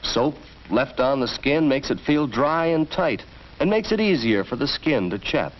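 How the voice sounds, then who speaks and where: A man's voice: mid-range voice, sing-song, measured pace. An American man narrating a 1940s educational film from a script, in an instructive, storytelling manner. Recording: dull vintage film soundtrack, faint noise.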